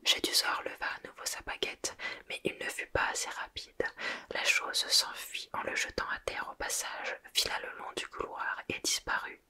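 A speaker reading a novel aloud in a soft, unvoiced whisper and then reviewing it, close to the microphone. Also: normal speed. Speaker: book reader and reviewer.

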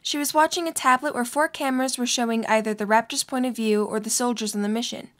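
A woman's voice: a little high, fairly even intonation, fast. A woman reading scripted story narration as the narrator of an audio drama.

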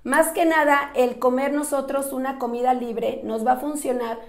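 Echoey room, Mexican woman, high creaky voice, slightly hoarse, friendly nutrition host chatting to camera. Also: fairly even intonation, moderate pace. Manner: effusive, friendly, thankful. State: slightly hoarse, recovering from a sore throat. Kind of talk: casual talk to camera. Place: small indoor office.

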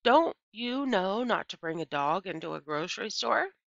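A woman's voice: medium pitch, very animated, normal speed, vocal fry, no room sound, dull audio. A woman reading a storybook and voicing a frantic, exasperated male store manager who scolds and pleads. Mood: scolding. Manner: shouting, pleading, indignant.

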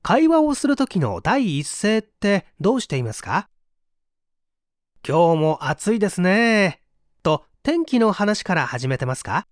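A man with a high-pitched voice reads a nonfiction audiobook in a polite, explanatory manner. His intonation rises and falls in a lively way. The sound is dry and close, with no room echo. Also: a little slow. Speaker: audiobook narrator. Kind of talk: scripted audiobook reading.

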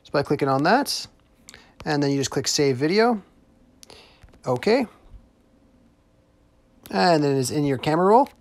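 A young man narrates a tutorial in a casual, conversational way. His voice sits a little higher than most men's. The sound is close and dry, with no room echo. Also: big pitch swings, a little slow, pauses often. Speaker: host of app tutorials.

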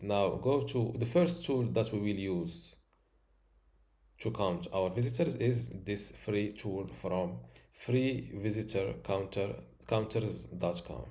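Man, 30s, tutorial host; slow, methodical delivery, low mellow voice. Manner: slow, step-by-step instructions with fillers. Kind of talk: screen-recorded software tutorial.

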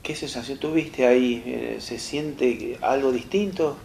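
Gravelly Argentine interviewer in echoey studio. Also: male voice, mid-range voice, a little slow. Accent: Argentine Spanish. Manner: gentle probing questions, prompting and finishing the guest's phrases. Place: small studio set, seated in armchair. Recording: roomy echo, faint hiss.

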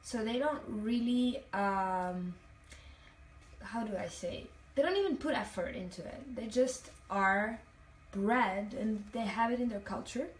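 A woman's voice: medium pitch, a little mellow, slight background noise. A young woman from Italian-speaking Switzerland, whose first language is Italian, chatting casually on a livestream while sitting on a hotel bathroom floor and reading comments aloud. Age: young adult. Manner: chatty, reads comments aloud, many fillers. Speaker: livestream host. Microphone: phone held at arm's length, talking to a mirror. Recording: echoey tiled bathroom, phone mic at a distance.

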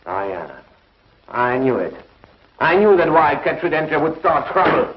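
An actor playing a stern, urgent commissioner in a 1950s television sci-fi serial, speaking emphatically. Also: male voice, on the high side, sing-song, unhurried, noisy background. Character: stern, urgent space commissioner leading the response. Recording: dull vintage TV soundtrack.

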